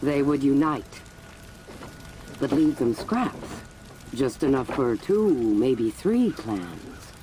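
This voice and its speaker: A cold, calculating warlord for a video game cutscene. She speaks with deliberate, measured authority in a dark, mellow voice. Faint ambience sits behind her. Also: extremely low pitch, big pitch swings, slight background noise, heavily acted. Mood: calm, commanding. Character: cold, calculating female warlord, a ruthless ideologue.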